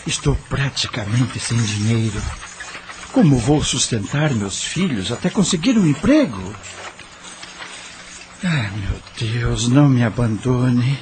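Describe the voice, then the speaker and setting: A man's voice: medium pitch, big pitch swings, takes it slowly, background noise. A man playing a sad, disillusioned widowed father in an audio drama, speaking in an anguished soliloquy. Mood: anxious, pleading.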